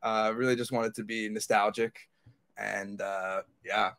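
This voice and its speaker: Gravelly, casual male podcast panelist. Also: fairly low pitch, little pitch movement, a little slow, fairly bright tone. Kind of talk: casual chat.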